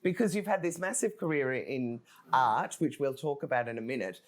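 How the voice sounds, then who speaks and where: A woman's voice: very deep voice, a little fast. A woman hosting a casual interview show, informal and effusive in how she reacts and asks questions.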